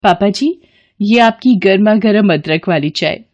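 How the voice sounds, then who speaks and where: A woman's voice: mid-range voice, unhurried, a little mellow, natural voice. A woman voicing a meek, dutiful young daughter-in-law, polite and deferential, in a family story. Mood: polite, eager.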